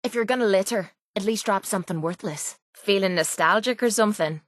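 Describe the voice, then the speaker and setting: An actress plays a tough young Irishwoman who fights for hire as a video game companion. She is blunt, cocky and sarcastic, throwing out slangy remarks in a mid-pitched voice. The sound is close and dry. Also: fairly quick, no echo, close to an everyday voice. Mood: sardonic, brisk.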